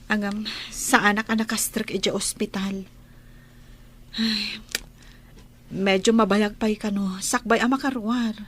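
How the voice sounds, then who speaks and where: An actress playing a gentle, respectful young mother in an Ilocano audio drama. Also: medium pitch, steady tone, measured pace, pauses often, lightly acted. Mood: grateful. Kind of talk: Ilocano radio-style audio drama acting.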